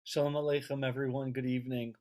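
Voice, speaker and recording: A man's voice: mid-range voice, level tone, fast talker, dark tone. An American man, around his thirties, gives an informal, personal talk over a video call. He speaks as a rabbi and author, feeling his way through sentences and often doubling back to repeat or restart a phrase. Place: indoors at home on a video call.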